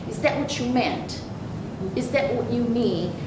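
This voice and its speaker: A woman from Brazil speaking as a panelist, hesitant with many fillers and restarts. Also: unhurried. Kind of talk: panel discussion remarks. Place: large university event hall. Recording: echoey hall, distant mic, background rumble.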